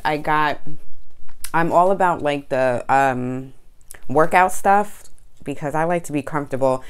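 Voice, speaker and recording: A woman in her thirties chatting casually in a home vlog, relaxed and rambling, with frequent "um" and "y'all", recorded on a lapel mic. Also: a bass voice, clear, resonant tone. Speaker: vlogger and candle shop owner. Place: small home room with shelves. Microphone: clip-on lapel mic.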